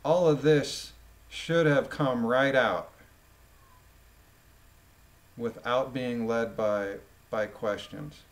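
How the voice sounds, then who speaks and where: A man reading a document aloud and adding informal, emphatic commentary with halting phrasing. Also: mid-range voice. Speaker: commentator, former police officer.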